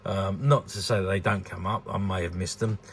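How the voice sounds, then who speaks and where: A man's voice: low-pitched, fairly even intonation, fairly quick, creaky, slight background noise. A British man in his sixties giving rambling, hesitant, unscripted commentary on a collectable, often repeating words. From United Kingdom. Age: 60s. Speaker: vintage toy dealer presenting a collectable. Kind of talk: unscripted informal product commentary.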